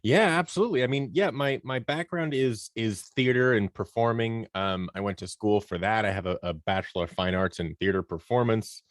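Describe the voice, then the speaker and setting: Casual low-voiced man in his thirties. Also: brisk, hardly any pauses, no room sound, clean studio sound. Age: 30s. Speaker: podcast guest, audio drama producer and performer. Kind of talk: casual conversational interview answers.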